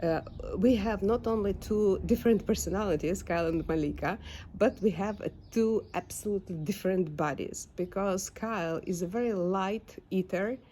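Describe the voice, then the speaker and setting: A woman's voice: medium pitch, normal speed, dark tone, slight background noise. A young woman with a Ukrainian accent, speaking English as a second language in a casual vlog, hesitating often with fillers while she searches for words. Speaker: dog owner vlogger. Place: home backyard.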